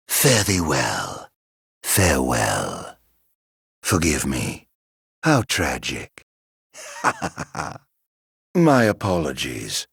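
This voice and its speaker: A man playing a middle-aged video game villain, sardonically genteel and flamboyant, with mock-polite, drawn-out delivery. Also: very deep voice, creaky, fairly bright tone, breathy edge, no room sound, clean studio sound, heavily acted. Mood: cold, soft menace. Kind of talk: video game battle voice lines.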